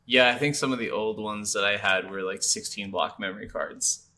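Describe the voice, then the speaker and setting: A man's voice: on the low side, steady tone, normal speed, sharp tone, slight background noise. A man co-hosting a video game podcast, chatting casually in an easygoing, agreeable way. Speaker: podcast co-host. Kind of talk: casual chat about video games.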